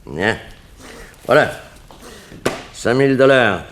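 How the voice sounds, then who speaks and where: An actor playing a tough, cynical gang boss in a 1960s French radio crime drama, gruff and condescending. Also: male voice, mid-range voice, expressive, slow pace, fairly bright tone. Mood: curt, unhurried. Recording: noisy vintage radio drama recording.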